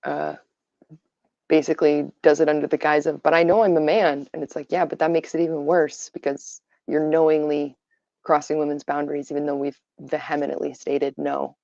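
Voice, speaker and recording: A tired woman with a raspy voice, giving her opinions on a casual livestream full of fillers. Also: sits low, level tone, normal speed, darkish tone, no room sound. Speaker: livestream host. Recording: dull lo-fi stream audio.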